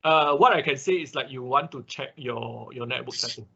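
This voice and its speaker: Male class instructor, forward bright tone with some rasp, fielding questions haltingly over a dull online call. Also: mid-range voice.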